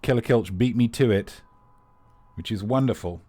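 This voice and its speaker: A man's voice: fairly low pitch, normal speed, mellow, dry recording. A man hosting a live comedy quiz, rambling and playful with teasing asides to the players. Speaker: quiz host.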